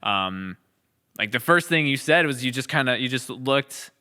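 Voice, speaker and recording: A man's voice: mid-range voice, lively intonation, normal speed, pushed and bright, clear, resonant tone. An American man hosting a podcast in casual, reflective conversation, emphatic and repeating phrases for weight. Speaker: podcast host and series creator.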